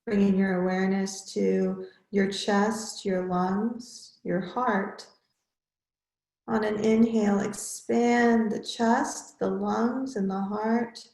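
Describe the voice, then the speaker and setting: A woman yoga teacher leading a guided relaxation in measured, instructional short phrases with pauses between them. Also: medium pitch, steady tone, gravelly, darkish tone, roomy sound.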